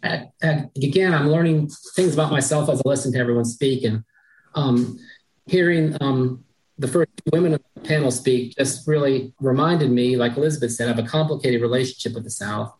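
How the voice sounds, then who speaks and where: A woman speaking on a panel, reflective and pausing often with ums. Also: a bass voice, a little mellow, rough recording. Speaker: author on a panel. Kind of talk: panel discussion.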